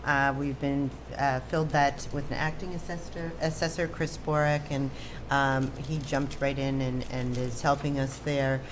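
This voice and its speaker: An American woman chairing a town meeting, giving informal updates in hesitant, measured phrases. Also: very deep voice, flat delivery. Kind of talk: informal public meeting remarks. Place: indoor town board meeting. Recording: noisy public meeting room ambience.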